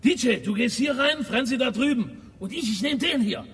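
A young man playing a swaggering, menacing gang leader in a radio drama, loud, bossy and taunting. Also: extremely high pitch, lively intonation, normal speed. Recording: distant, long echoing reverb.

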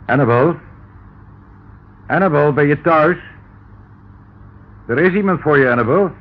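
An actor playing a meek, anxious, henpecked hotel keeper in a radio play, fussy and placating. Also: male voice, on the high side, a little slow, lightly acted. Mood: nervous calling. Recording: narrow, tinny old radio recording, faint noise.

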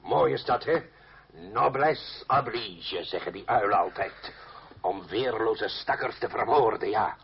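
A man's voice: fairly low pitch, measured pace, vocal fry, crowd noise. An actor playing a coarse, blustering male revolutionary in a radio drama, bellowing, swearing and mocking. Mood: angry. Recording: dull noisy old radio recording.

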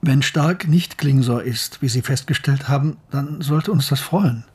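A husky, mid-pitched voice for a shrewd professor in a radio drama. He is reserved and guarded, leading the listener along with understatement. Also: a little slow, darkish tone. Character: shrewd, reserved German male professor, also the narrator. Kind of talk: radio drama dialogue and narration.

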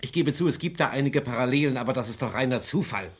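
A man's voice: medium pitch, expressive, fast, breathy edge. A male actor in a German crime radio play, playing a firm, matter-of-fact investigator with an authoritative manner. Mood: insistent, reasoned. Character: brisk, authoritative male investigator loyal to his men.

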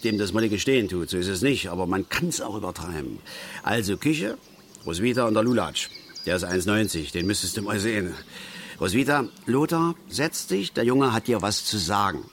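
Animated, aggrieved middle-aged angler. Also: male voice, on the low side, a little hiss or hum. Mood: indignant, self-righteous. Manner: rambling, colloquial, halting asides, self-important. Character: aggrieved middle-aged male angler and family father, self-righteous, garrulous. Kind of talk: naturalistic German radio drama monologue and dialogue.

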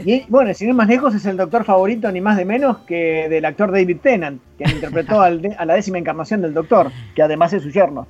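High-voiced Argentine man co-hosting. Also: fast talker, hardly any pauses, a little mellow. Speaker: podcast co-host. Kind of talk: semi-scripted commentary with casual chat. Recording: dull lo-fi sound over music.

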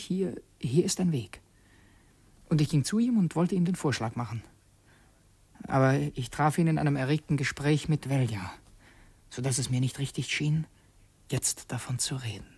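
A tense young revolutionary speaks in a radio drama. His husky, fairly high voice is very dry and close, with a halting, fervent, secretive manner. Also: darkish tone, no echo. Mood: troubled, pensive. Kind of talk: German radio drama acting with confessional narration.

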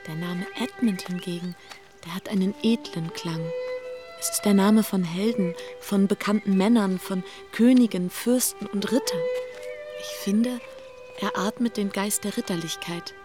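An actress as a shy young niece in a period radio play. Her medium-pitched voice is earnest and dutiful, with a romantic streak, set over clearly heard music. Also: unhurried, natural voice. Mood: earnest, admiring. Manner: earnest, deferential. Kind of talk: German radio drama acting.

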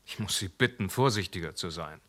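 A police inspector, unhurried and sharp-eared, putting short questions with quiet skepticism. His voice is bright and lively, with plenty of movement in its pitch. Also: heavily acted. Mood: probing. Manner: measured, dry questioning. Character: calm, probing male police inspector. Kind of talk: radio play acting.

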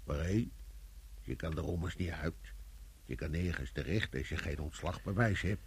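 A man's voice: moderate pace, heavily acted. An actor playing a coarse, cynical and resigned workman in a radio play. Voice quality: deep, gravelly.

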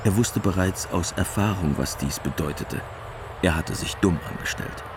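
Narrator: very low-pitched, sober storytelling for radio drama, clear noise behind. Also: male voice, moderate pace.

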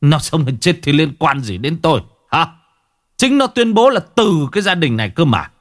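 A man's voice: fairly high pitch, sing-song, normal speed, pitched above the performer's own voice. A man voicing a hot-tempered, stubborn old husband who scolds and snarls in bitter, foul-mouthed questions, in a dramatic audio story. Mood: irritated, angry. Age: older.

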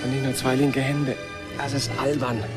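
An actor playing a dreamy, grumbling leader of planet settlers in a German radio play, theatrical and exclamatory. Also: male voice, medium pitch, level tone, normal speed, loud music behind the voice, natural voice. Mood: exhausted, whining.

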